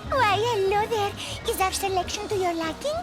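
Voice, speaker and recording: A woman's voice: very high-pitched, moderate pace, music in the background. An actress playing a perky, money-minded merchant woman in a video game, with sugary, teasing sales patter. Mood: playfully pushy. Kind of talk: video game character acting.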